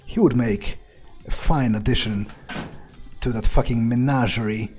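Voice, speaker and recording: A man acts out an arrogant male vampire thinking aloud in a video game roleplay. He is domineering, sneering and foul-mouthed, with a menacing air and a mid-range pitch. Faint music plays behind him. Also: a little slow, darkish tone, close to an everyday voice. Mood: contemptuous, musing. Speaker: gamer playing in character. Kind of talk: improvised in-character roleplay monologue.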